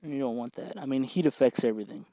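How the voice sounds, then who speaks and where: A man hosting an informal how-to tutorial, explaining step by step in an unhurried way as he works with his hands. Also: medium pitch, mellow, dry recording, highs cut off. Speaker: host of a DIY electronics tutorial.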